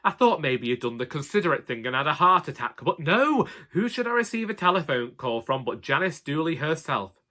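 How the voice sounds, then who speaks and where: A man's voice: fairly high pitch, very animated, a little fast, hardly any pauses, bright, forceful tone, voice pushed up. A man voicing a snobbish older housewife from the North of England in a comic story, pompous, over-proper and scolding.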